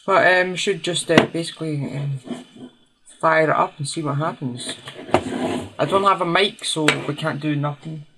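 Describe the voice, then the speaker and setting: A man's voice: fairly high pitch, fairly even intonation, moderate pace. A man giving casual, unscripted hands-on commentary as he tinkers, hesitantly thinking aloud. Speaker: hobbyist restorer hosting a video. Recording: noisy home recording with clicks.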